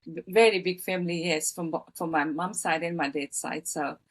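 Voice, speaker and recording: A woman's voice: lowish voice, steady tone, moderate pace, breathy edge. Hesitant woman in her fifties from Fiji. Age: 50s. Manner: hesitant, many fillers and restarts, earnest. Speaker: guest, author. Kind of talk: personal storytelling in interview.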